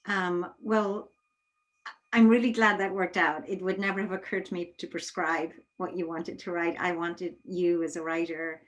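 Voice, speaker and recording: A woman's voice: on the low side, flat delivery, normal speed, poor audio. A woman interviewing in a conversational way, thoughtful and often rephrasing herself as she goes. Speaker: interviewer, theatre artistic director.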